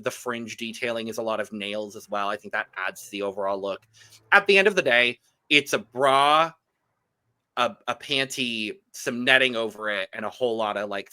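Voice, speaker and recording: Bright-voiced man sharing his critique. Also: mid-range voice, normal speed, smooth. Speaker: podcast co-host reviewing drag runway looks. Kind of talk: casual chat, opinionated review.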